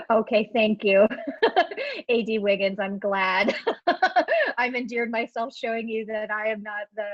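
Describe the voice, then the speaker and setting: A woman's voice: mid-range voice, no room sound. Woman presenter, Ohio-raised, casual and self-deprecating; dry, slightly muffled video-call sound. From Ohio, USA. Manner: chatty and self-deprecating, laughs often, many fillers. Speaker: education professor presenting a webinar. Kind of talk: live webinar presentation. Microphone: computer mic on a video call.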